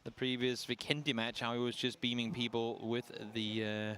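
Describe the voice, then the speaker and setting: A man's voice: mid-range voice, little pitch movement, a bit bright, a little hiss or hum. Man giving esports analysis. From Denmark. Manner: analytical, measured, self-deprecating. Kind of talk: color commentary.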